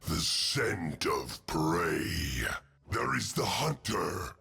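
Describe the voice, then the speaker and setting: Game creature: savage lion-like predator, very slow menacing growl, heavily gravelled and low. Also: male voice, expressive, sharp tone, soft background music, exaggerated voice. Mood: menacing, predatory. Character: fearsome savage male lion-like beast hunter, veteran predator. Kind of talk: video game character voice lines.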